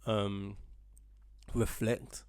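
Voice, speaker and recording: Gravelly-voiced man in easygoing chat. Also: lowish voice, little pitch movement, extremely slow, darkish tone, no room sound, clean studio sound. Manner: easygoing, repeats words as he thinks. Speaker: podcast co-host. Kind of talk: casual chat.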